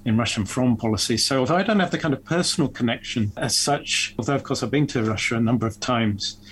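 A man's voice: mid-range voice, fast talker, a bit bright, breathy edge. A man speaking as an associate professor on a discussion panel, reflective and a little hesitant.

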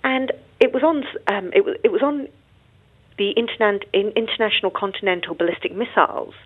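A woman's voice: mid-range voice, lively intonation, brisk, breathy edge. A woman with a British accent, a guest on a radio interview, chatty and modest, who explains her work at length. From United Kingdom. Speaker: guest, international space lawyer. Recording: tinny phone-line sound.

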